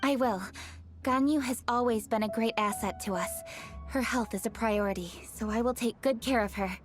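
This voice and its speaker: Game casting: actress as composed young female official, high voice, formal, businesslike, music underneath. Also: moderate pace, close to an everyday voice. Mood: assured, courteous. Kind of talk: video game character acting.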